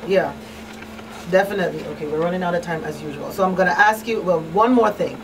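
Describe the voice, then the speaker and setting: A woman's voice: fairly low pitch, quick pace. A woman hosting a conversational talk show in an emphatic, plain-spoken way. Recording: distant mic, faint background noise.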